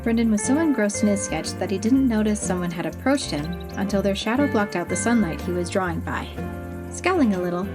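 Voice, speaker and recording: A woman narrating a fantasy audio story in an expressive, even-paced reading, over soft music. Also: mid-range voice, fairly quick.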